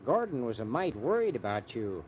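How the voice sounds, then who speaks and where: Actor as a sly, drawling American small-town sheriff. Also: male voice, mid-range voice, big pitch swings, normal speed, lightly acted. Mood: sly, insinuating. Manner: drawling rustic speech. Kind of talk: old-time radio drama acting. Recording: muffled, noisy old radio recording.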